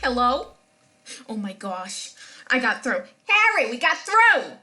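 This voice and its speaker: An actress playing an overexcited, gushing phone-in caller in a radio drama, exclaiming with giddy delight. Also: female voice, a little high, sing-song, takes it slowly, pushed and bright, heavily acted. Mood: thrilled.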